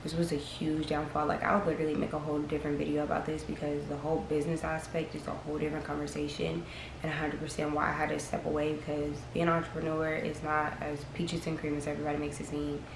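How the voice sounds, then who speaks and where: A woman's voice: low voice, completely flat delivery, fast talker. A young woman in her early twenties, chatting frankly and rambling as she tells her story to camera. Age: early 20s. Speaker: vlogger. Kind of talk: casual chat to camera. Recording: echoey room with audible background noise.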